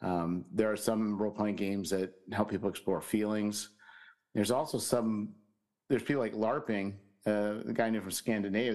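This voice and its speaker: A man's voice: lowish voice, darkish tone. A man hosting a podcast in casual conversation, rambling with frequent "you know" fillers. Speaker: podcast host.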